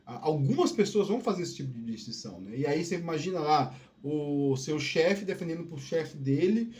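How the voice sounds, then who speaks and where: A man from Northeast Brazil with a softened Northeastern Brazilian accent, talking in a rambling casual solo monologue full of restarts and tag questions. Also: fairly high pitch, animated, moderate pace. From Northeast Brazil (Piauí family). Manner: rambling, many restarts and "né?" tags. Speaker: podcast host.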